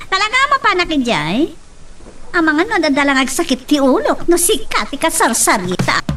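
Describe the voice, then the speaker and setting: Woman playing a spoiled jealous young woman, Ilocano accent, irritable, whiny, very animated swooping pitch, radio drama. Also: moderate pace, music in the background. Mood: irritated, whining.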